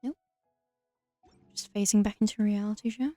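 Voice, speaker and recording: A woman hosts a casual let's play. Her voice is soft-edged and relaxed, recorded dry, and she drops wry, deadpan-leaning asides as she plays. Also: mid-range voice, normal speed, no room sound, soft background music. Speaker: gamer, let's play host. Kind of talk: casual game commentary.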